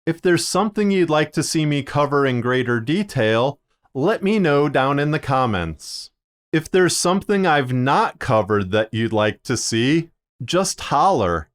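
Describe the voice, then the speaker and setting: A man narrating a scripted video as a podcaster, speaking in measured, deliberate phrases with short pauses. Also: fairly high pitch, expressive. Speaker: podcaster and fiction narrator presenting a video series.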